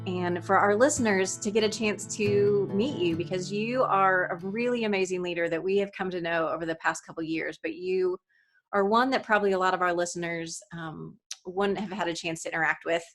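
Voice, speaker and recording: A woman's voice: on the low side, steady tone, fairly quick, a bit bright, faint music behind. A woman hosting a podcast interview, enthusiastic and giggly. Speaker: podcast host.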